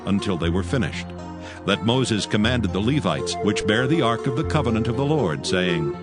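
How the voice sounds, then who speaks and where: A man with a fairly low voice narrates scripture. His reading is solemn and measured, and music plays loudly beneath him. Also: animated. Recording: loud music under the voice.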